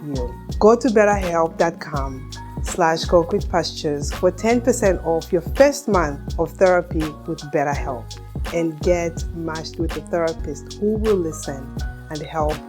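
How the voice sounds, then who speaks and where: A woman reading a scripted sponsor message in a smooth, even way. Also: low-pitched, a little slow. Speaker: podcast host reading a sponsor ad. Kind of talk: scripted ad read. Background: music.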